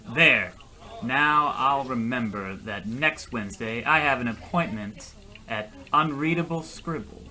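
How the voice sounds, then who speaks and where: Man as insecure everyman narrator for comic radio play; bright, forceful, swooping delivery, halting and self-important. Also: medium pitch, full character voice, low-quality recording. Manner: halting, self-correcting, overdramatic. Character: rambling, self-important, insecure average guy narrating his own life. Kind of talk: parody old-time radio play monologue.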